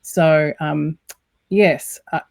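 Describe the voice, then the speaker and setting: A woman's voice: low-pitched, steady tone, very slow, vocal fry, dry recording. Woman in her 40s raised in new zealand, thoughtful guest, laughs a lot, dry close mic. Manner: thoughtful, laughs easily, rephrases as she goes. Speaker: guest, university lecturer. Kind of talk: casual interview chat.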